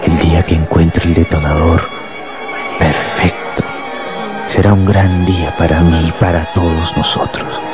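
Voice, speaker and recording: Deep-voiced, coldly fanatical bomb maker. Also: male voice, level tone, music in the background. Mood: solemn, obsessed. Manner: deliberate, grandiose, menacing. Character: cold fanatical bomb-making professor, proud and deadly calm. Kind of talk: Spanish-language radio drama acting.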